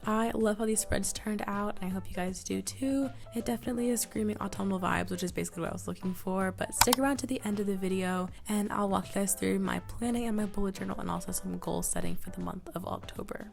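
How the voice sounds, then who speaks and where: A woman's voice: mid-range voice, steady tone, quick pace, gravelly, hardly any pauses, over music. A teenage woman chatting casually through a planning vlog, her voice scratchy and nasal from a cold. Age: late teens. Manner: chatty, upbeat, self-deprecating. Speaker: planning vlogger. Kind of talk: casual voice-over narration.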